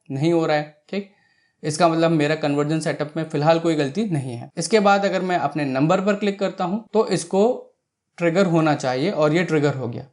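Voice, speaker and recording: A man's voice: fairly high pitch, a little mellow. A man presenting a step-by-step screen tutorial, explaining each action and often checking in, with English technical terms mixed in. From India.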